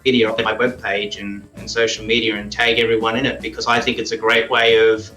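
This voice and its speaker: An Australian man speaking casually as an interview guest, phrasing his thoughts in a halting, thoughtful way. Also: on the low side, little pitch movement, bright, forceful tone, roomy sound, soft background music, rough recording. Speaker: guest, radio play creator and performer. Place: indoors in a house living room.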